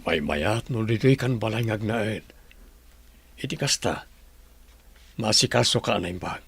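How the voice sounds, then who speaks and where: A man playing an earnest, remorseful husband in an Ilocano radio drama, with a gravelly, husky voice and an Ilocano accent. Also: on the low side, expressive, unhurried, a little hiss or hum. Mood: earnest, pleading. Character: earnest, remorseful husband pleading with his wife.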